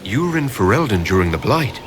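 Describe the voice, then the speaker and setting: An actor playing a courteous, inquisitive fantasy leader in a video game, asking polite, direct questions. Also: male voice, on the low side, sing-song, brisk, noisy background. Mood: curious, composed.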